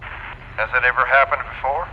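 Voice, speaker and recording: A man's voice: medium pitch, fairly even intonation, moderate pace, highs cut off. An actor playing a friendly, cautious man on a two-way radio, questioning with concern in an audio drama. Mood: worried. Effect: two-way radio filter. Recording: thin, noisy radio transmission.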